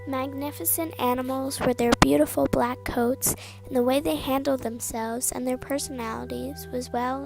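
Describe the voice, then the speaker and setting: A woman's voice: sits high, creaky, rough recording. A woman narrating a written tribute in a sincere, plain reading style. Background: faint background music.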